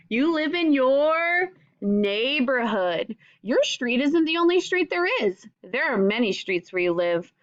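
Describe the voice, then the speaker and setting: A woman's voice: fairly high pitch, dry recording, muffled recording. A woman teacher reading a children's picture book aloud, speaking directly to young listeners. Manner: addresses young listeners directly with simple questions. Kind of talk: children's read-aloud with questions and asides.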